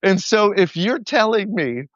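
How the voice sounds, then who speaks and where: A man's voice: high-pitched, expressive, a little slow, no echo. Southern man on a dull, lo-fi call. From American South. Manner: loose, filler-heavy, wry. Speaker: podcast guest. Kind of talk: casual political chat.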